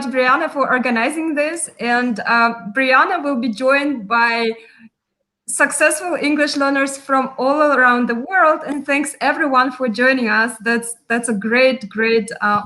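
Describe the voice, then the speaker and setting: A woman's voice: fairly high pitch, sharp tone. A woman hosting a live online chat, welcoming the guests with frequent fillers over a video call. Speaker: host.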